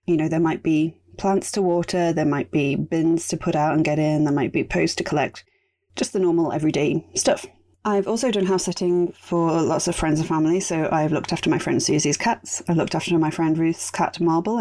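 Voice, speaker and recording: A woman hosting a casual solo podcast, chatty and rambling, her voice going raspy. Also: sits low, fairly quick, mellow. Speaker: podcast host.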